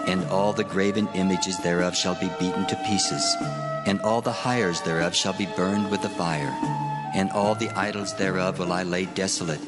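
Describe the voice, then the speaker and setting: A man voicing God in a dramatized scripture reading, solemn and declamatory as he pronounces stern judgment, with loud music under him. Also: sits low, close to an everyday voice.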